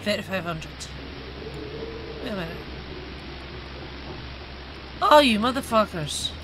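A woman streaming a game, reading commands aloud under her breath and cursing at the screen. She talks slowly and drifts between mutters and outbursts. A steady hiss of noise sits behind her. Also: mid-range voice. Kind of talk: live gameplay commentary.

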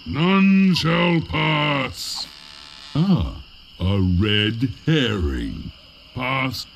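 A man voicing a gruff, menacing, slow-witted troll guarding a way in a cartoonish adventure game, ponderous and blunt. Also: mid-range voice, sing-song, slight background noise, heavily acted. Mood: pleased, imperious.

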